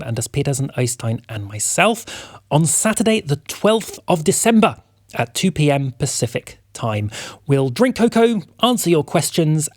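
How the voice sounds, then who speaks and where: Higher-pitched man hosting, dry close sound. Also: no echo. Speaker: show host, announcer. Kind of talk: announcements and episode recap.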